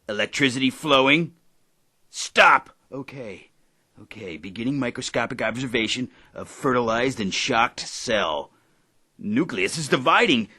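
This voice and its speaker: Man playing a bright-voiced pompous scientist. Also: medium pitch, exaggerated voice. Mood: focused, excited. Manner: precise, pompous, formal. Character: self-important male genetic engineer and entrepreneur, precise, pompous. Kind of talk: audio drama acting.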